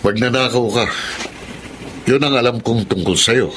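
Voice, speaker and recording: An actor playing a kind-hearted middle-aged father who talks in a calm, steady, firm way. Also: mid-range voice, moderate pace, a little hiss or hum, lightly acted. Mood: firm, gentle. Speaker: radio drama actor. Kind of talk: radio drama acting.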